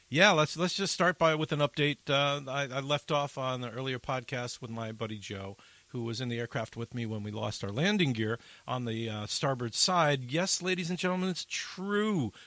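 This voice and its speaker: A man's voice: mid-range voice, moderate pace, bright, forceful tone, dry recording. A man hosting an aviation talk podcast, telling a story in a rambling, joking way with many fillers. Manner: rambling, jokey, lots of fillers. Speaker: podcast host and pilot. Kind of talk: casual radio chat.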